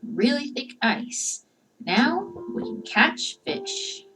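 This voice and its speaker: A woman reading a children's storybook, voicing an earnest, excitable father who gives emphatic warnings. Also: sits high, very slow, over music, full character voice, poor audio. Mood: enthusiastic, cautioning. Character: Cheryl's father, earnest, excitable, cautioning dad. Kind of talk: children's storybook read-aloud.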